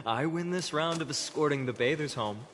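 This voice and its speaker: Slow-spoken, earnest young hero. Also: male voice, fairly high pitch, fairly bright tone. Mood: teasing. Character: earnest, good-natured young male hero haunted by loss. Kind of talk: scripted video game dialogue. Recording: music underneath.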